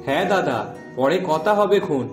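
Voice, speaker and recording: A man's voice: on the high side, expressive, normal speed, natural voice. A man voicing a mild, hardworking village fisherman husband, folksy and agreeable, in a fairy tale cartoon. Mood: polite, hurried. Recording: echoey, with loud instrumental music behind.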